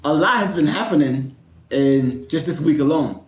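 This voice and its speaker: A man's voice: a little high, expressive, moderate pace. A man hosting a podcast with a New Jersey accent, chatting loosely and laughing a lot. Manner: laughs often, loose and joking. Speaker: podcast host. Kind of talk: casual chat. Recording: echoey room.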